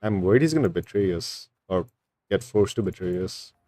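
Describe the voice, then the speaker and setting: A man's voice: low-pitched, animated, moderate pace, dark tone, faint music behind. A man streaming a video game, giving casual playthrough commentary as he reacts to the story.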